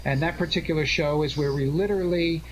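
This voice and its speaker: A man in his forties or older chatting casually and earnestly as a live radio host, halting and full of thanks, over an internet call. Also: a little high, low-quality recording. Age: 40s or older. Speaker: show host and director. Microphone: Skype-type connection. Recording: internet call with background noise.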